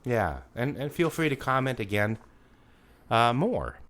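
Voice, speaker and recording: A man's voice: mid-range voice, very animated, a little slow, a bit bright. A man co-hosting a casual podcast, reading listener comments with a joking manner, laughing often, with a slightly nasal voice. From Rapid City, South Dakota. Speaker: podcast co-host. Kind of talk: casual chat.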